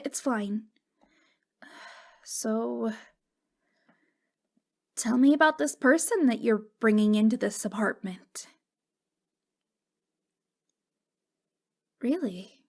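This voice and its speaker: A woman performs a long-dead ghost girl in an intimate ASMR drama. The voice is fairly high and creaky, and it moves slowly. She halts and stammers, sounding wistful and fragile. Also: full character voice. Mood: subdued, sorrowful, then curious, wary. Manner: halting, stammering, emotionally fragile.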